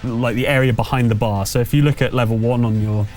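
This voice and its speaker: A man's voice: medium pitch, steady tone, quick pace, a little mellow. A man acting as game master, narrating a tabletop game in a conversational, slightly hesitant way while explaining what happens. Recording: music playing under the voice. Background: background music.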